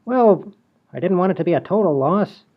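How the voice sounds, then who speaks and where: A man's voice: high voice, animated, normal speed, highs cut off. A man playing a naive, bungling small-time crook in a radio comedy, defensive and full of excuses. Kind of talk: comedy radio play acting.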